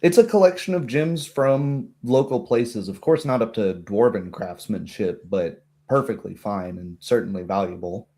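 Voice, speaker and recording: Male game master, low and very mellow, descriptive fantasy narration. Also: moderate pace, few pauses.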